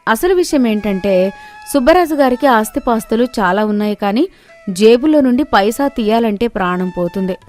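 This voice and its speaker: A woman's voice: fairly high pitch, expressive, moderate pace, a little mellow, soft background music. A woman storyteller giving scripted narration of moral tales.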